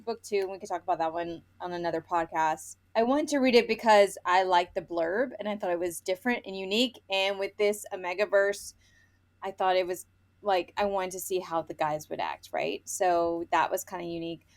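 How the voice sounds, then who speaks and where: A woman's voice: on the low side, brisk. A woman co-hosting a casual book chat, speaking bluntly with an exasperated edge and some casual swearing. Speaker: podcast co-host reviewing romance books.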